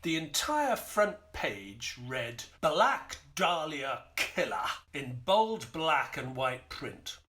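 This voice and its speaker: An actor playing an earnest, inquisitive gentleman doctor who narrates a detective audio drama. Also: fairly high pitch, big pitch swings, drawn-out words, few pauses, exaggerated voice. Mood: narrating.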